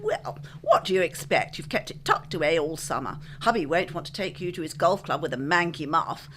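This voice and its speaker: Woman, old-time radio advert, brisk cheerful pitch, voice swoops wildly up and down. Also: big pitch swings, a bit bright, slight background noise. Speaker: advert voice in a spoof radio show. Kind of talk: 1940s radio advert acting. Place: studio.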